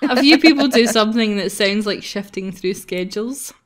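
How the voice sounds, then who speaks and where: A woman's voice: mid-range voice, normal speed. A woman hosting a visual novel playthrough, mixing casual narration with chat. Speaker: host reading a visual novel.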